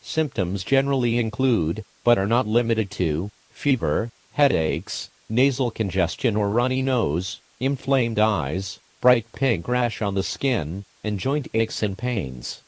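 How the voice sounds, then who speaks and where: Low-voiced man reading a formal emergency alert. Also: lively intonation, no echo, rough recording. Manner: formal, measured, impersonal delivery. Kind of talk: scripted emergency alert narration.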